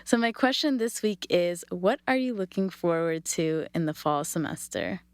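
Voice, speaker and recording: Student host, young woman, upbeat, dry close mic, reads intros brightly. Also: on the low side, normal speed, smooth, dry recording. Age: young adult. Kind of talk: podcast hosting.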